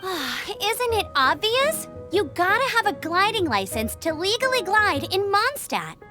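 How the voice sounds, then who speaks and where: Peppy teen girl knight, very high bouncy voice, chatty and playful, game music behind. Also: big pitch swings, measured pace, heavily acted. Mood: upbeat. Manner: upbeat, chatty, rule-quoting but playful. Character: peppy young girl knight scout, eager and bossy. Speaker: gliding examiner. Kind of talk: game character dialogue.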